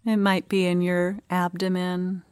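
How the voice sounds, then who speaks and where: A woman leading a guided meditation, speaking in short, gentle phrases with unhurried pauses. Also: fairly low pitch, completely flat delivery, clean tone, no room sound, clean studio sound.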